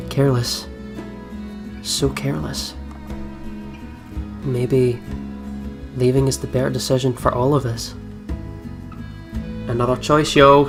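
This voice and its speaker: Let's player for a visual novel: narrates the story, chats casually, soft guitar music behind. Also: mid-range voice. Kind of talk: reading game narration with casual commentary. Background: soft guitar game music.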